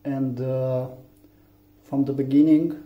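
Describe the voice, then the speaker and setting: A man from Romania with a Romanian accent, English not his first language, giving a halting phone tutorial with many fillers. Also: medium pitch, flat delivery. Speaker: tech tutorial maker. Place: room indoors, phone on a table. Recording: echoey, dull lo-fi room.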